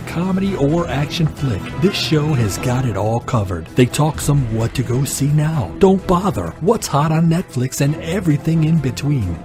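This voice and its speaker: A man announcing a scripted podcast advertisement in a polished, promotional way. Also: medium pitch. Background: music.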